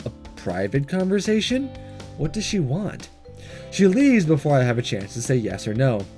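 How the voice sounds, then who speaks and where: Animated man narrating a game over music. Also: mid-range voice, moderate pace. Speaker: gaming video host reading a visual novel aloud. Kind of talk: animated read-aloud narration with casual commentary.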